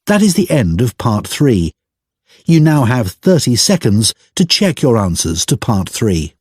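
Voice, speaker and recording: A man reading scripted exam instructions as an announcer, in a formal, deliberate manner. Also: medium pitch, very animated, a little mellow, breathy edge, dry recording, clean studio sound.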